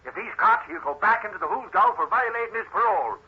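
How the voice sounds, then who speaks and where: High husky blustering ex-cop. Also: male voice, expressive, quick pace. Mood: blustery, suspicious. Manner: blustery, folksy slang. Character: excitable Irish ex-cop, big hearty loyal bodyguard. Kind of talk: 1940s radio acting. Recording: muffled old radio recording.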